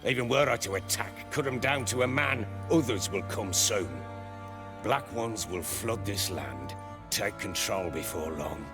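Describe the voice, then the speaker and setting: An actor playing a gruff, middle-aged self-made baron and soldier in a video game, gravelly voice and blunt, earthy, old-fashioned speech. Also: male voice, on the low side, expressive, measured pace, music in the background. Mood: bitter, defiant. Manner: blunt, earthy, archaic turns of phrase. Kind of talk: dramatic video game acting.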